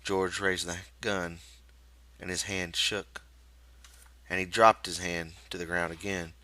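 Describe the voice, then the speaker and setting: A man reading a novel aloud as dramatic, measured, suspenseful scripted narration. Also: deep voice, flat delivery, bright, forceful tone. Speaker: audiobook reader.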